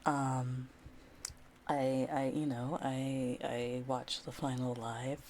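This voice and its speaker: A woman streaming live ASMR, talking softly and often dropping into a whisper, rambling with restarts and small laughs. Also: very deep voice, little pitch movement, slow, a little hiss or hum. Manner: rambling and self-deprecating, with many restarts and soft laughs. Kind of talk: soft-spoken ASMR chat, drifting into whispers. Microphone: close ASMR mic.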